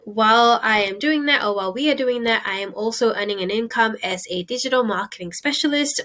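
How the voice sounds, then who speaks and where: A woman's voice: mid-range voice, a bit bright. A young woman in her twenties from Singapore, chatting casually to her webcam in a small room, gesturing as she talks. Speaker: vlogger. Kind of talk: casual talk to camera.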